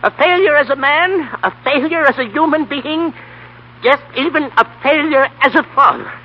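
A man's voice: very high-pitched, lively intonation, moderate pace, highs cut off. An actor playing a kindly, plain-spoken old grandfather in folksy 1930s radio style. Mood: indignant. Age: older.

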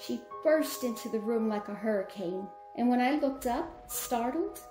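A woman's voice: fairly high pitch, animated, moderate pace, over music, natural voice. A woman playing a loving middle-aged mother, tender and genteel, in an echoey old-time radio drama. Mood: wistful.

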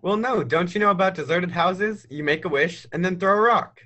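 A high school boy acts out a restless young American dreamer in a radio play. His voice is rather high and very bright, with an earnest, eager push to his words. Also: a little fast. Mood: excited, boastful. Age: teens. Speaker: high school drama student. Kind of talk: stage radio play acting.

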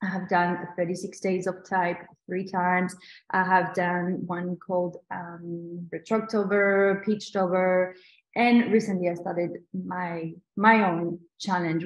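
A woman from New Caledonia with a French accent, chatting enthusiastically as she teaches a live online lettering tutorial. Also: fairly low pitch. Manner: chatty and enthusiastic, many restarts. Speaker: lettering instructor. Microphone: video call. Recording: echoey video call audio.

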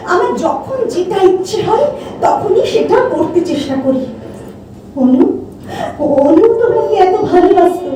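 A woman's voice: very high-pitched, dark tone. A woman acting a sulky, affectionate and anguished lover in an emotional amateur audio play, with a plaintive, scolding delivery. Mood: bitter self-reproach. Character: Bhabna, sulky affectionate woman anguished over her beloved. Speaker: amateur stage audio-drama actor. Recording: echoey hall, distant mic, music.

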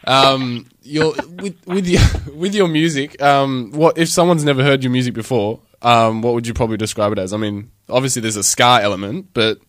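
A man's voice: mid-range voice, a bit bright, no room sound. Dry-sounding Australian man asking questions. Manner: halting questions with ums, chatty. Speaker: radio interviewer. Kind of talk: casual radio interview.

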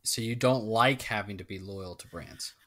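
A man's voice: fairly low pitch, normal speed, sharp tone. A man co-hosting a casual podcast, talking in a rambling way and often restarting his sentences. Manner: rambling, self-interrupting. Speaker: podcast co-host. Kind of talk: casual chat.

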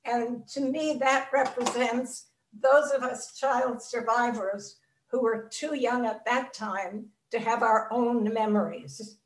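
Older woman, survivor testimony over a video call, measured and reflective, fairly high voice, roomy sound picked up from a distance. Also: fairly even intonation. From Czechoslovakia. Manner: measured, reflective storytelling with pauses. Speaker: Holocaust survivor speaker. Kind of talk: personal testimony in an interview. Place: home room.

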